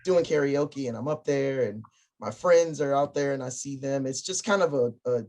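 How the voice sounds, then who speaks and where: A man chatting as an interview guest, relaxed and joking as he tells stories about himself. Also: medium pitch, normal speed. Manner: relaxed, jokey storytelling. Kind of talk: casual chat interview.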